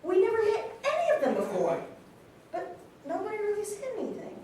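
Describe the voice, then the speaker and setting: An animated young woman, an actress playing a fond sister in a stage monologue. Her high, lively voice tells the story informally, from far off in a very echoey room. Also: brisk, exaggerated voice. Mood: reflective, fond. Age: 20s. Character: twenty-eight-year-old sister fondly recalling her brother. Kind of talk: conversational monologue in a staged reading. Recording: very echoey room, distant mic, noisy.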